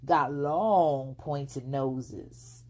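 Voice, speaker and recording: A woman's voice: a bass voice, extremely slow, a little mellow, exaggerated voice. Woman playing a sassy, fearless little country girl. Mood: sassy, defiant. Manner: folksy rural dialect, matter-of-fact.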